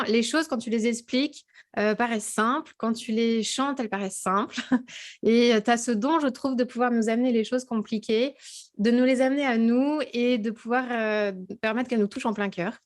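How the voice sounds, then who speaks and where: A woman from Alsace hosts a casual interview, speaking with eager enthusiasm and peppering her talk with fillers and quick yeses. Her voice sits a little high. She sounds like she is on a video call. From Alsace, France. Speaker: host.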